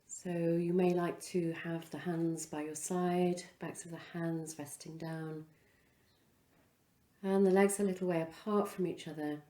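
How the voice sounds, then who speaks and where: A woman leading a guided relaxation as a yoga instructor, speaking in an unhurried, soothing way with pauses between phrases. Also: lowish voice, steady tone, dark tone, reverberant.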